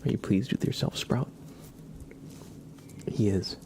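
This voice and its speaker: Casting: male livestream ASMR host, lowish creaky voice, chatty and gentle in volume, sometimes whispered, slightly noisy recording. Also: slow. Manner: chatty, rambling, soft-spoken with whispered stretches. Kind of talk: casual live ASMR chat with tarot card readings. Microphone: headset. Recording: clear background noise.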